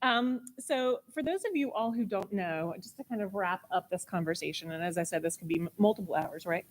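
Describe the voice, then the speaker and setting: A woman gives an informal presentation during a church service. Her voice sits at an ordinary pitch for a woman. She talks conversationally, as a guest addressing the congregation. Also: a little fast, low-quality recording. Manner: apologetic asides about her loud voice.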